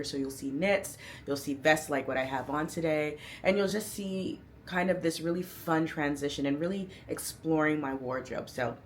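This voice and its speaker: A woman's voice: low-pitched, slight background noise. A woman in her thirties, a fashion vlogger, talking casually and upbeat to camera in a chatty, playful way. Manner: chatty, upbeat, playful slang, hypes herself up. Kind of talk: casual outfit breakdown to camera.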